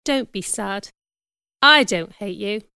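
A woman voicing a gentle, patient, forgiving schoolgirl in an audiobook, speaking quietly and calmly. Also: fairly high pitch, unhurried, clean tone, no echo, clean studio sound. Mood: soothing. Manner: quiet, soft, calm. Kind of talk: audiobook character voice.

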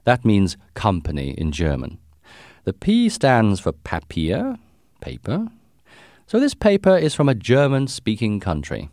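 A man voicing a brilliant, brisk English gentleman detective in an audiobook, commanding, precise and confident. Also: on the low side, wildly swooping pitch, dry recording, clean studio sound, voice pushed up, heavily acted. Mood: brisk, assured. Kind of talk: audiobook character dialogue.

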